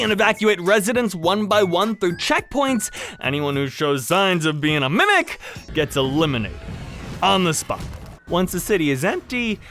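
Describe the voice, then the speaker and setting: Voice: mid-range voice, a bit bright. A host narrating a casual comedic explainer in a sarcastic, mock-dramatic way, swearing freely. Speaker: video host. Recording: background music under the voice. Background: music.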